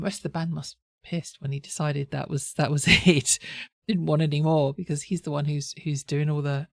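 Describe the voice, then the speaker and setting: Woman, reaction host, congested cold voice, sore throat, gravelly, dry close sound. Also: low-pitched, no echo. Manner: rambling, self-correcting, thinking aloud. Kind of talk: casual chatty commentary.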